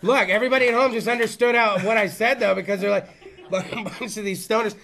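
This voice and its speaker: A man hosting a podcast interview, with loose, joking, profane chat and quick questions. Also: sits high, steady tone, gravelly, pushed and bright. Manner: loose, swearing, jokey, asks quick questions. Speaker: podcast host.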